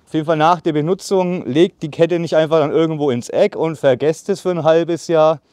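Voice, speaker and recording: A man in his thirties demonstrating a saw outdoors in casual talk, a bit out of breath, with many fillers and pauses. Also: on the high side, a little mellow, no room sound. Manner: chatty, filler-heavy, pauses while sawing. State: slightly out of breath from sawing. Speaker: bushcraft channel host. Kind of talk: casual product demo. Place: outdoors in a leafy meadow by trees. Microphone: far camera on a tripod.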